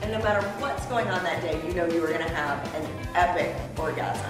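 A woman's voice: fairly low pitch, brisk. A woman hosting and instructing guests in a playful, frank demonstration, with exclaiming, teasing encouragement. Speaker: host and instructor. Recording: echoey room with music behind.

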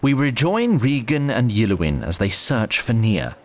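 A man's voice: medium pitch, very animated, a little slow. A man narrating a scripted fantasy story in a wry, literary manner.

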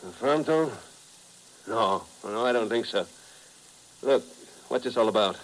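An actor playing a hungover, irritable American playboy who talks in weary casual slang in a 1950s radio drama. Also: male voice, mid-range voice, lively intonation, measured pace, natural voice, low-quality recording. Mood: baffled, irritated.

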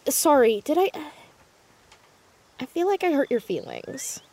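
A woman's voice: high voice, sing-song, unhurried, warm tone, slight background noise, heavily acted. A young woman playing an earnest, inquisitive teenage girl sleuth in a naturalistic audio drama, chatty and stammering when flustered. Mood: awkward, apologetic.

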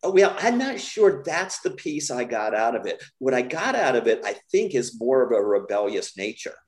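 An American man, middle-aged, speaking as an interview guest in a measured, candid, reflective way. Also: medium pitch, sing-song. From United States. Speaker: podcast guest. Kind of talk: conversational interview storytelling.

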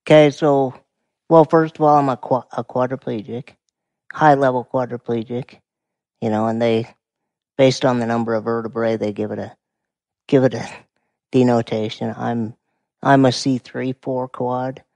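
Quiet, gravelly Montana man in his forties. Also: medium pitch, moderate pace, pauses often, no room sound. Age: 40s. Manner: calm, explanatory. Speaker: guest. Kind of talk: casual conversation.

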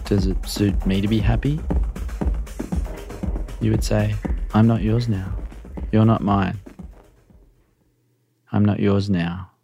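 A man with a very low voice reads a literary story in the first person. His delivery is gravelly and measured, confessional in its short sentences, with faint music underneath. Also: flat delivery.

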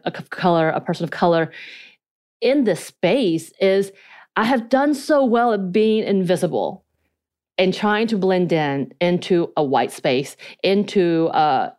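Woman, podcast co-host, lowish voice, light Korean-Southern accent, candid and self-analytical. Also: darkish tone. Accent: Korean and North Georgia mountain. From United States, North Georgia. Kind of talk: casual podcast chat.

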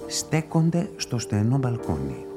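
An actor in a radio play playing a patient, methodical police investigator who questions and explains in a measured way. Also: male voice, mid-range voice, wildly swooping pitch, over music. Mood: pondering. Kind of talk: radio drama acting.

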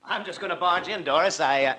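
An actor playing a proper, fussy gentleman in a 1940s radio drama, hesitant and well-spoken. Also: high-pitched, fast. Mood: hesitant, grave.

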